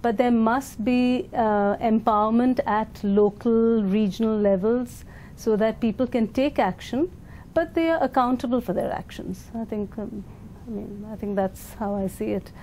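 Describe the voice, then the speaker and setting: A woman's voice: on the high side, a little slow, mellow, a little hiss or hum. An Indian woman leading an education foundation, answering interview questions thoughtfully with fillers and restarts.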